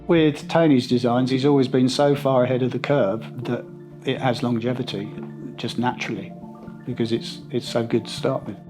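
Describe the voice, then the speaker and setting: Middle-aged male installer, mid-pitched, conversational and enthusiastic interview read, music bed underneath. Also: steady tone, normal speed. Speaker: sound system installer interviewee. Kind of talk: reflective interview testimonial.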